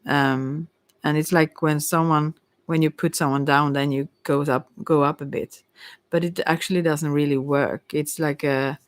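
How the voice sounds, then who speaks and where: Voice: medium pitch, level tone, moderate pace, no echo. Hesitant tarot reader, very dry close sound. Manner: hesitant, rambling, musing delivery. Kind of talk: casual ASMR tarot reading.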